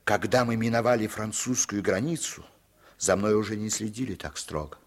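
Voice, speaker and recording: A man acting a German deserter on the run, telling his story and pleading in low, hushed tones in an old radio play. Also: normal speed. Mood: tense. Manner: tense, hushed, dropping to whispers. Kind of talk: 1950s radio drama acting.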